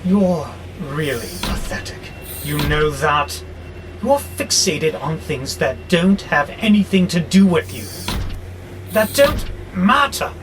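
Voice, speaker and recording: A man's voice: fairly high pitch, lively intonation. A man acting in an audio drama as an arrogant, frustrated warehouse robot plotting war, with a grandiose, exasperated delivery. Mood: contemptuous. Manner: grandiose, slow and theatrical, exasperated. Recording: clearly noisy background hum.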